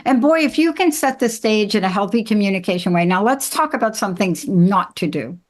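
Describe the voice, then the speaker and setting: Woman podcast host n coach, chatty advice talk, emphatic, talks right to listeners, medium pitch. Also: lively intonation, a little fast. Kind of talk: conversational relationship-advice talk.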